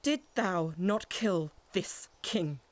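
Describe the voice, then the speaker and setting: A woman's voice: on the low side, slow. A woman playing a grieving, defiant noble widow in a Shakespearean audio drama, with declamatory, emotional delivery. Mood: bitter scorn.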